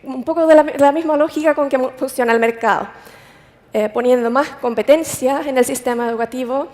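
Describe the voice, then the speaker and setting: A woman's voice: high voice, a little mellow. Woman speaking in a large hall. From Finland. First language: Finnish. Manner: careful, with frequent restarts and hesitations. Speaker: conference speaker. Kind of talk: conference talk.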